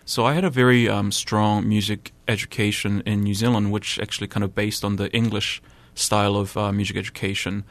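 Young guy, lots of ums, low voice, dry studio. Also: flat delivery, fairly quick, hardly any pauses, no echo. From South Korea. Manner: hesitant, many ums and uhs. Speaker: church musical director, studio guest. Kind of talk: radio interview answers. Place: radio studio.

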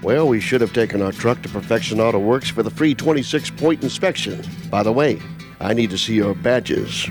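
An actor playing an excitable man on a road trip in a radio ad skit. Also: lowish voice, normal speed, natural voice. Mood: wry. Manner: jokey, animated. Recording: loud music bed.

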